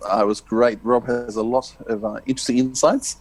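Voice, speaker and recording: A man's voice: medium pitch, fairly even intonation, a little fast, darkish tone. A man chatting casually as a podcast co-host.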